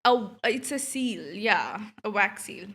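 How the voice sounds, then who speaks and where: A woman's voice: medium pitch, animated, takes it slowly, fairly bright tone. A woman from India chatting casually on a game livestream in a scattered, giggly way. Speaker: streamer. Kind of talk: casual livestream chat while playing a game.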